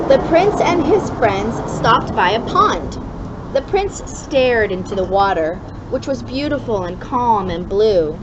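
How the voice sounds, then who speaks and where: Lady reading a kids book out loud, really up and down voice, kinda muffled with noise behind her. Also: a little high. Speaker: storybook reader for a kids club. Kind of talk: expressive read-aloud of a children's allegory.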